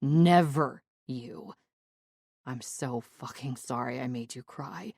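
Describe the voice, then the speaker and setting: A woman doing a gravelly, remorseful young man. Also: slow pace, a little mellow, no echo, clean studio sound, heavily acted. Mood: pleading tender. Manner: low, pleading, apologetic. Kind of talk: audiobook character voice.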